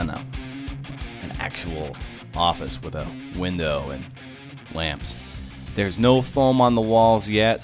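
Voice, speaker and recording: A man hosting a podcast, presenting and interviewing with a punchy, emphatic delivery from a small home office. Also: low-pitched. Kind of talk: podcast intro and interview questions.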